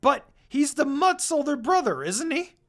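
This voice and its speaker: A reader acts out a young male wolf demon in a fantasy story, brash and possessive. The voice jumps up loud and swings widely in pitch, full of jealous pride. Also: very animated, unhurried, full character voice. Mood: pleading, shocked.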